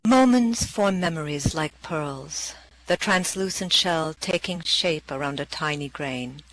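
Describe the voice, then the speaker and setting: Expressive poetry reader, mid-pitched voice. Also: a little slow, low-quality recording. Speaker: poetry performer. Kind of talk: poetry reading with brief spoken introductions.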